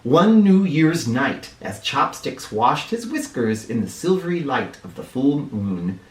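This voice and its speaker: A man reading a picture book aloud to children in an expressive teacher's manner, pausing to explain. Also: medium pitch. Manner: animated, with explanatory asides. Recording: roomy sound, distant microphone.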